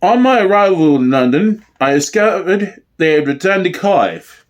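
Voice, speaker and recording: A man's voice: high-pitched, very animated, moderate pace, lo-fi sound. A man playing a pompous, theatrical police superintendent giving formal, self-important testimony in a comedy sketch. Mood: proud. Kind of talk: mock-documentary testimony.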